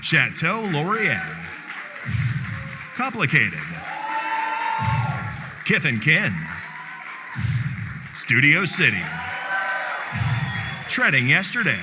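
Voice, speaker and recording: A man's voice: fairly high pitch, sing-song, drawn-out words, long pauses. A man announcing nominees at an awards show. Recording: music and loud crowd behind. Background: music and crowd.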